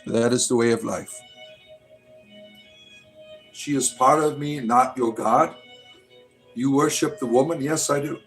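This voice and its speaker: A man's voice: mid-range voice, a little slow, long pauses, faint music behind. A man in his late forties hosting a livestream, rambling loosely and reading out viewer comments, often ending with "right?". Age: 40s. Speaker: livestream host.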